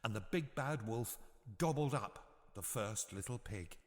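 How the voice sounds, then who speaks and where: A man narrating a scripted bedtime story for children in an expressive, measured storytelling manner. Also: mid-range voice, big-room sound.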